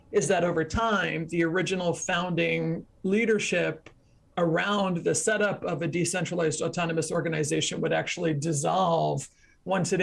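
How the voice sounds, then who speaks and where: A woman's voice: fairly low pitch, creaky. A woman speaking as an expert guest, explaining things step by step and defining her terms as she goes. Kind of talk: explanatory interview talk.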